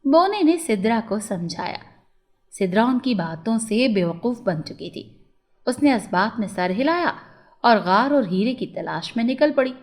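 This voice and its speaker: A woman's voice: on the high side, big pitch swings, unhurried. A woman narrating a scripted children's moral story as a storyteller.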